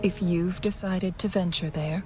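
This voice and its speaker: An actress playing a composed, mysterious young woman strategist in a fantasy video game, speaking softly in measured, formal phrases. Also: lowish voice, fairly even intonation, lightly acted. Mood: grave, composed. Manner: measured, unhurried, formal, soft-spoken. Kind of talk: video game voice acting, storytelling dialogue. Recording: music and rain behind the voice. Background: game music, rain.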